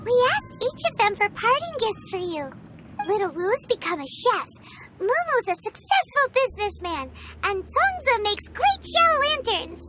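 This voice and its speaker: An actress voicing a small, excitable, bossy childlike companion in a video game, squeaky and exclaiming. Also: female voice, measured pace, loud music behind the voice, full character voice. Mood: curious.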